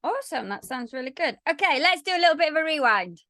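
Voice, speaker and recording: High, bright-voiced woman podcast host. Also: lively intonation, fast talker. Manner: brief, affirming prompts and questions. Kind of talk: interviewing.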